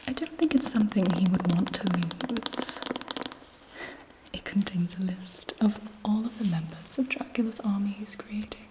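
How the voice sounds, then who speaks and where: Woman acting a seductive vampire queen, hushed whisper, unhurried deliberate pace, scheming, haughty, intimate ASMR roleplay. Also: medium pitch, natural voice, rough recording. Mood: sly, commanding.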